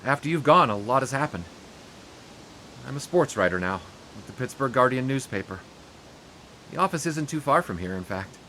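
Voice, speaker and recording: A regretful young sportswriter. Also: male voice, medium pitch, animated, slight background noise. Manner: halting, apologetic, hushed. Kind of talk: audio drama acting.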